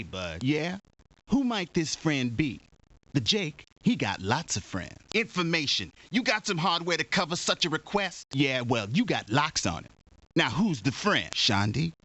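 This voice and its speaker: A man's voice: medium pitch, pushed and bright, heavily acted. A man voicing a cagey, cocky street informant for a video game, sneering in brash slang. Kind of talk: video game character acting.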